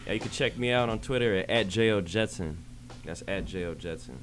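Low, quick-talking American man. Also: soft background music. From Minnesota, USA. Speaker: musician, radio guest. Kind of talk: casual interview chat. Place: small radio studio. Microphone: studio boom mic.

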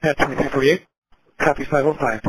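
A man's voice: on the high side, fairly even intonation, fast talker. Clipped male police dispatcher. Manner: clipped, repeats back each call. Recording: noisy police radio audio.

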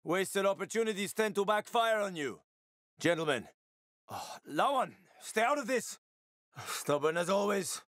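An actor playing a wry, roguish middle-aged survivor in a video game, with a sardonic, slangy, casual way of talking. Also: male voice, sits high, expressive, a little slow, a bit bright, dry recording, clean studio sound. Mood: strained. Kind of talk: video game character acting.

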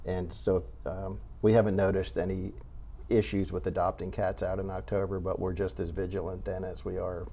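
An older man speaking as an interview guest from a cat rescue, choosing his words in a measured, halting way. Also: low-pitched, little pitch movement, dark tone. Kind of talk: unscripted interview talk. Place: indoor TV studio set. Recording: clear background noise.

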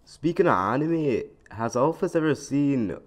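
A man's voice: medium pitch, lively intonation, measured pace. A man casually reading out fan questions for a comic dub.

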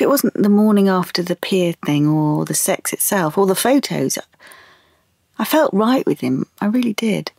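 A serious young brit woman, husky and croaky, a bit doubting, close dry sound. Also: mid-range voice, a little mellow, no echo, full character voice. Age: young adult. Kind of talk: audio play monologue and dialogue.

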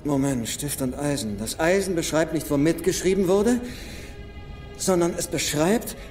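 A man's voice: on the high side, close to an everyday voice. A man acting in a film dub as a brainy, principled treasure hunter who thinks aloud. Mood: excited deduction. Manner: thinking aloud, halting then excited. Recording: long hall reverb under film music.

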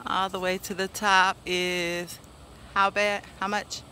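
A woman's voice: medium pitch, little pitch movement, takes it slowly, bright, forceful tone, slight background noise. A woman in her forties giving a casual, chatty tour of her backyard garden on a phone held at arm's length, laughing often. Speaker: vlogger.

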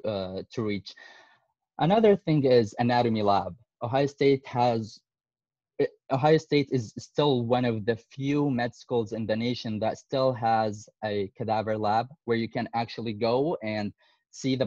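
A young man from Syria, in his twenties, talks through a presentation on a video call and stops often on fillers. His voice sits at a middle pitch and comes through dull, lo-fi call audio in a dry, close room. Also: darkish tone, dry recording. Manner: hesitant, many fillers. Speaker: medical student.

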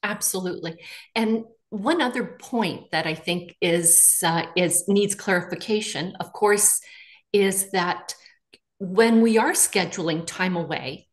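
A woman in her sixties, a real estate agent leading a webinar panel, talking casually from her living room with frequent "you know" fillers. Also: medium pitch. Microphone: video call.

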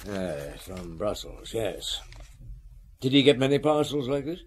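A man's voice: fairly low pitch, big pitch swings, unhurried, slight background noise. Terse persistent policeman, varied intonation, questioning, radio drama. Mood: inquisitive, terse.

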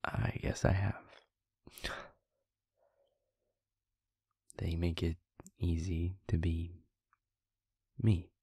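Man acting a slow, halting, wry vampire. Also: low-pitched, little pitch movement, warm tone, breathy edge, dry recording, studio quality, exaggerated voice. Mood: sincere, shy. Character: nervous, sincere, wry vampire friend. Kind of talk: intimate audio roleplay acting.

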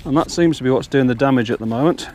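A man's voice: medium pitch, quick pace, a little mellow, slight background noise. An older man casually explaining fishing steps outdoors on a riverbank, talking step by step. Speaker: angler and channel host.

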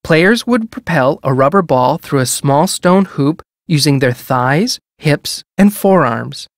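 Woman, measured exhibit narration, formal, explanatory, educational game voice-over, dry close recording. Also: deep voice, animated, no echo.

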